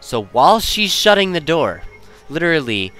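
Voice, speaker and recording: A man's voice: fairly high pitch, very animated, moderate pace, a bit bright, soft background music. A young man telling personal stories in a casual, rambling way, often interrupting himself to second-guess his wording. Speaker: storyteller.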